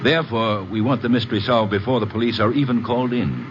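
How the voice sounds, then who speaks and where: An actor playing a courteous but coldly menacing crime boss in an old radio detective drama, speaking formally and with measured threat. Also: male voice, fairly low pitch, lively intonation, close to an everyday voice. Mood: polite menace. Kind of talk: 1940s-50s radio detective acting. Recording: muffled, noisy old radio recording.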